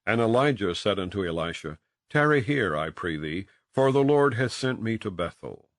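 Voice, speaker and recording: A man narrating scripture in a solemn, measured and declamatory way, like a scripted audiobook reading. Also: on the low side, no echo, studio quality. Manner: measured, declamatory, with archaic phrasing.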